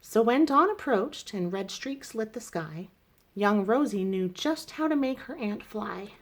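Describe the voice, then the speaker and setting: Woman, children's storybook narrator, expressive verse reading, medium pitch. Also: measured pace. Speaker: storybook reader.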